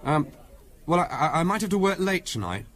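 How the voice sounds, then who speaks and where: Blustering English husband, wildly swinging pitch. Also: male voice, big pitch swings, fairly quick, a bit bright. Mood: stubborn, evasive. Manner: blustering, curt, dismissive. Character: irritable, evasive, protective English husband. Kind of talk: 1970s British radio drama acting.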